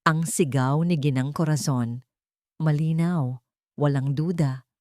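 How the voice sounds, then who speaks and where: A woman narrating a scripted drama in the first person, with deliberate, dramatic short phrases. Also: low voice, darkish tone, no echo, studio quality. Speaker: story narrator.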